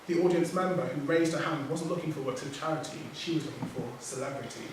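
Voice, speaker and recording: A man who speaks English as his first language, reading a prepared talk as a poet on a writers' panel, measured, with small self-corrections. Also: fairly high pitch. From Nigerian heritage, raised outside Nigeria. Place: theatre hall. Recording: echoey hall from far off, background noise.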